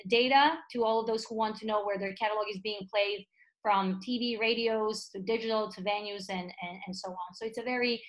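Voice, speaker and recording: A woman's voice: on the high side, low-quality recording. A woman from Serbia introducing herself on a panel, speaking with frequent fillers and repeated words. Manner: hesitant, repeats words, friendly. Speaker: panelist, business development.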